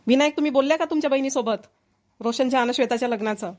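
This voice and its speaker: A woman's voice: high-pitched, very fast, a little mellow, lightly acted. A woman voicing an eager, inquisitive middle-aged village wife and mother in a Marathi cartoon comedy, with a questioning way of talking.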